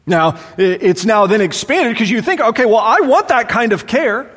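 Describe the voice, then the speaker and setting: A man preaching a sermon as pastor, animated and exclaiming, voicing his listeners' doubts aloud, in a large church sanctuary. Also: high voice, normal speed, slightly rough. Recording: long hall reverb.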